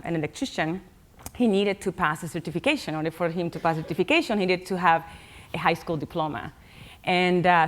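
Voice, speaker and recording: A woman's voice: on the low side, a bit bright. A woman from Puerto Rico with a Puerto Rican Spanish accent, a Spanish speaker, telling a story on a conference panel with an upbeat, laughing manner. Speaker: panelist, tech executive. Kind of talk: panel discussion.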